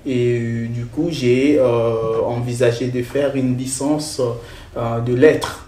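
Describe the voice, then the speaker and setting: A man from the Congo, a researcher and lecturer, answering interview questions in a measured way with frequent hesitations. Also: medium pitch, steady tone, mellow, a little hiss or hum. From Democratic Republic of the Congo. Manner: hesitant, many filler sounds, measured. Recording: roomy echo.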